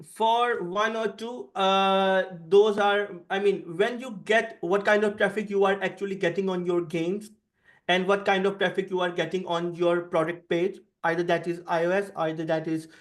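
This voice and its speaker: A man who speaks English as a second language explains points on a panel, rephrasing himself often in a halting, repetitive way. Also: sits high. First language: not English. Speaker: panelist, app marketing specialist.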